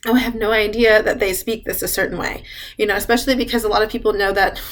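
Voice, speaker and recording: A woman's voice: medium pitch, steady tone, fast talker, fairly bright tone. Chatty woman sharing study abroad tips. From United States. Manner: chatty, frequent fillers and laughs. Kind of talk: casual advice talk.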